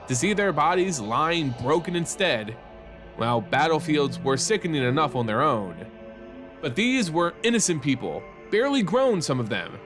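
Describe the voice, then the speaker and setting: Animated male story narrator over music. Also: on the high side. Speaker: host of a fantasy lore channel. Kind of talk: dramatic scripted story narration.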